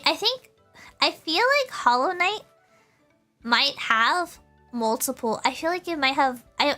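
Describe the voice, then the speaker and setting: A woman's voice: sits high, bright, forceful tone. A woman livestreamer chatting casually to her viewers in a bubbly, giggly way, often repeating her phrases. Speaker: VTuber livestreamer.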